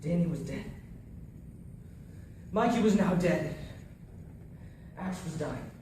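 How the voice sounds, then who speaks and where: A man's voice: a little high, takes it slowly, mellow. A man performing a dramatized first-person war monologue as an anguished soldier, with intense, theatrical delivery. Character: anguished male soldier recounting losing his comrades in battle. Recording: echoey room, distant mic, faint noise.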